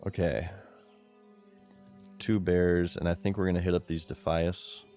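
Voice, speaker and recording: A man with a very low, deep voice talks casually through a game stream, giggly and relaxed as he chats with viewers. Faint music plays behind him. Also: measured pace. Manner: giggly, laid-back, chatting to viewers while playing.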